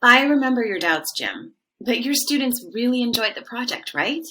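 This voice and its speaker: An actress playing a composed, principled school department leader in an audio drama, speaking steadily and assertively. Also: female voice, a little high, sing-song, normal speed, bright, forceful tone, rough recording. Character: composed, principled female department leader defending her program.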